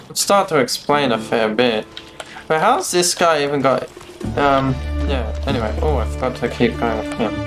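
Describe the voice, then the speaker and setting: A man's voice: medium pitch, moderate pace. A man in his twenties giving casual live gameplay commentary, musing aloud as he plays. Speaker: game streamer. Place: small room at home. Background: game music.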